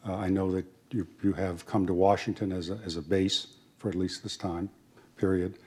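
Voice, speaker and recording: A man moderating an interview, asking questions in a deliberate, polite way with many pauses. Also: sits low, flat delivery, darkish tone, slightly rough. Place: university panel event room.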